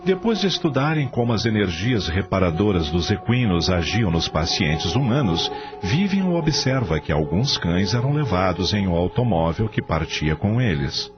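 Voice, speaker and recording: A man's voice: low voice, sing-song, normal speed, few pauses. A Brazilian man narrating a radio drama from a script in a formal storytelling manner. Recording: dull lo-fi audio. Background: clear music bed.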